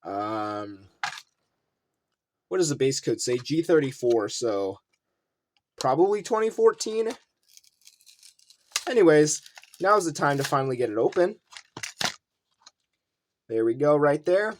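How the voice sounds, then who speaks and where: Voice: medium pitch, animated, slow, long pauses, a little mellow. Casual rambling unboxing host, noisy recording. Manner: rambling, thinking aloud. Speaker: toy car collector and unboxing video host. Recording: noisy room with clicking handling sounds.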